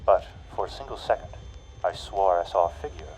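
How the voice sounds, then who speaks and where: A man's voice: low voice, darkish tone, a little hiss or hum, lightly acted, rough recording. A man playing a menacing radio horror narrator. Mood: dread. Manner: slow, menacing and mocking. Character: sinister male radio-play narrator, cruel and taunting.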